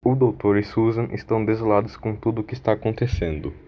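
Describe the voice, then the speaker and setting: Low-voiced man narrating an audio drama. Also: fast, mellow.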